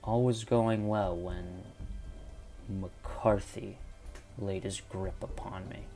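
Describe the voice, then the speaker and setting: A man's voice: low voice, steady tone, slow pace, dark tone. A man playing an old, dying landowner in an audio drama, confessing in a solemn, formal, old-fashioned way, bitter and desperate. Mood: remorseful, wistful. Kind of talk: amateur audio drama acting of a Victorian detective story. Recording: dramatic music under the voice.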